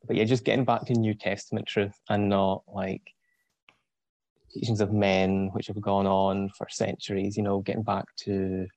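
A young man in his twenties with a Scottish accent, a guest on an interview, explaining things thoughtfully with frequent pauses and ums. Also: fairly low pitch, little pitch movement, darkish tone. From Scotland. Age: 20s. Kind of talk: casual explanatory talk.

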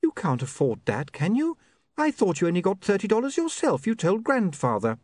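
Man acting a young lady, high voice, prim, sharp, suspicious, demanding, storybook character. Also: wildly swooping pitch, normal speed, dry recording, full character voice.

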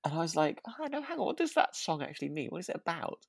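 A woman's voice: sits low, very animated, fast talker, gravelly, slightly rough, no room sound, studio quality. A British woman co-hosting a podcast, chatting casually in an agreeable, rambling, hesitant way. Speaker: podcast co-host. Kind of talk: casual chat reviewing a radio drama.

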